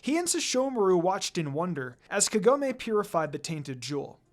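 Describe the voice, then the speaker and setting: A woman reading a scripted story as narrator, in a dramatic, expressive way. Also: lowish voice, moderate pace. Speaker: fan fiction narrator.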